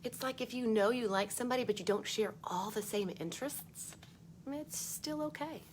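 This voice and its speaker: A woman reading a picture book aloud for children, expressive, with chatty asides spoken straight to young listeners. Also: fairly high pitch, normal speed, slight background noise.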